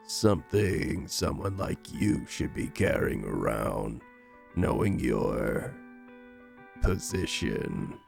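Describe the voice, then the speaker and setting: An actor playing a gruff, menacing, cool-headed enforcer in an audio drama. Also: male voice, a bass voice, darkish tone, heavily acted.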